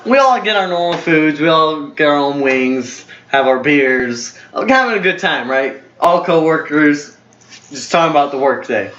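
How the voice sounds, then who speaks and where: A man's voice: a little high, expressive, moderate pace, a bit bright, a little hiss or hum. A young man in his twenties telling a casual story to a friend, rambling and full of fillers and self-corrections. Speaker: storyteller host. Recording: roomy, dull lo-fi home recording.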